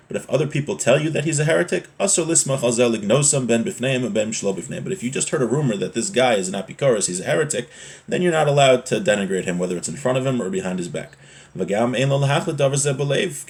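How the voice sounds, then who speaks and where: A man's voice: fairly low pitch, gravelly, darkish tone. A man giving an informal religious lesson as a teacher, reading from a text and explaining it point by point, with rhetorical check questions.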